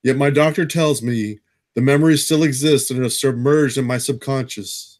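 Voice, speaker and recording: A man's voice: mid-range voice, moderate pace, a little mellow, lightly acted. A man playing a troubled, haunted castaway, giving an earnest and brooding audio drama monologue. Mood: brooding, wondering. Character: troubled male castaway haunted by vivid dreams.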